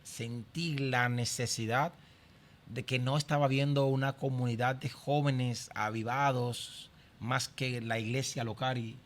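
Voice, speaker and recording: A middle-aged Dominican man speaks as a guest pastor in an interview. His pitch sits in an ordinary male range, and he tells his own story in a conversational way. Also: steady tone, unhurried. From Santo Domingo, Dominican Republic. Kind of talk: conversational personal testimony.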